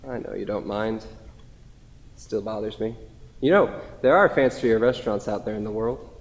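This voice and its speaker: A man's voice: fairly low pitch, normal speed, natural voice. A man playing a wistful, self-deprecating, devoted husband who reminisces conversationally in a staged play reading. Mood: resigned, wistful. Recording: long hall reverb.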